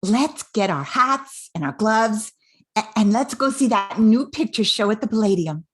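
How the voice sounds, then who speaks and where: Radio play: patient, affectionate daughter, measured; older woman performing, husky middle-range voice. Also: medium pitch, animated. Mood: patient, reassuring. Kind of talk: amateur radio play acting.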